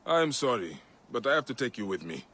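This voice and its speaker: An actor playing a calm, dry, righteous Egyptian warrior in a video game. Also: male voice, mid-range voice, fairly quick. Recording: loud music behind voice.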